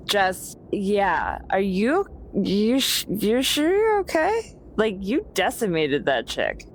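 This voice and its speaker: A woman's voice: medium pitch, big pitch swings, slow, slight background noise. A woman improvising casually in character as a player in a tabletop game. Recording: music behind the voice. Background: music.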